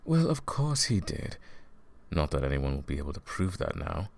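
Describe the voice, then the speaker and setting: A man playing a smug, scheming royal advisor and sorcerer in a fantasy audio roleplay, silky and condescending, with a gravelly voice. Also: a bass voice, sing-song, a little mellow, exaggerated voice. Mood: smugly confessing. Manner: silky, condescending, theatrical, drawn-out menacing phrasing. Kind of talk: fantasy audio roleplay, intimate villain monologue.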